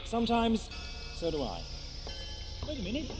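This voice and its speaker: An actor playing an eccentric, theatrical time traveller in an audio drama, brisk and self-mocking. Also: male voice, very high-pitched, huge pitch swings, over music, heavily acted, low-quality recording. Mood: excited, whimsical. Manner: theatrical, expounding, exclamatory. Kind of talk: 1980s amateur audio drama acting.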